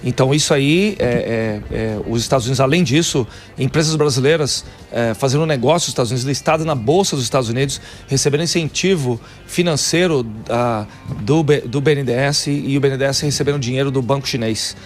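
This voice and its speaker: A man's voice: mid-range voice, fast talker, hardly any pauses, faint music behind. Paulista man explaining with many fillers. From Brazil, São Paulo. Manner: explanatory, fact-listing, with frequent fillers. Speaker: guest, city council member in Texas. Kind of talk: radio talk show interview.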